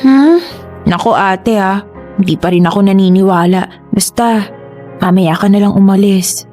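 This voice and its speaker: A woman's voice: medium pitch, measured pace, mellow, natural voice. Woman acting clingy, nagging Filipino little sister. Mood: nagging, suspicious. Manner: nagging, wheedling. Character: clingy, teasing, sulky youngest sister. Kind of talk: Tagalog radio drama acting. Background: music.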